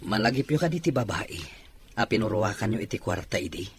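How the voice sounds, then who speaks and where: A man's voice: on the low side, expressive, fast talker. A man with a Filipino Ilocano accent playing a polite, humbled young son looking for work in an amateur audio drama. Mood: downcast, earnest. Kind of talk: amateur radio drama acting. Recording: clear background noise.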